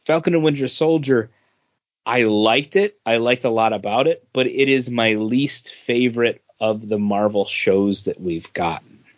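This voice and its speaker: A man's voice: mid-range voice, measured pace, no echo, lo-fi sound. A man chatting casually as a podcast co-host, giving opinions in a hesitant, rambling way with repeated words. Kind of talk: casual opinion chat about movie rankings.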